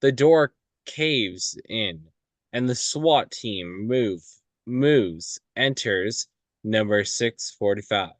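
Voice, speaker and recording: A man narrates a screenplay at a table read with extremely slow, halting delivery. He breaks lines into short pieces and stumbles over words. The sound is dry, with little room echo. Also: lowish voice, animated. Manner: halting, stumbling sight-reading.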